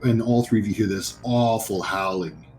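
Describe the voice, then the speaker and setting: A man's voice: lowish voice, moderate pace, darkish tone, rough recording. A man working as game master, narrating a horror tabletop roleplaying game in a conversational, descriptive way. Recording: music behind the voice. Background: music.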